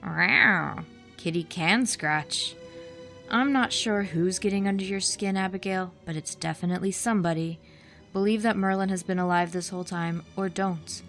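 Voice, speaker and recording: An actress playing a catty, smug antagonist in an audio drama, with a mocking, needling way of talking. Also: female voice, lowish voice, normal speed, creaky, a bit bright, soft background music. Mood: taunting.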